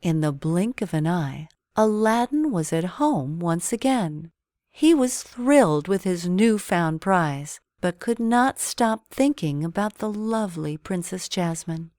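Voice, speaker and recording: A woman reading a children's bedtime story as an expressive storyteller. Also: fairly low pitch, measured pace, dry recording, studio quality.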